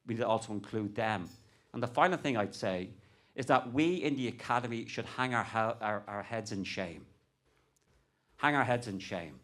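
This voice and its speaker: A man's voice: fairly low pitch, fairly bright tone. A man who works as an academic, speaking on a conference panel in an impassioned, rhetorical and urging way. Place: conference hall.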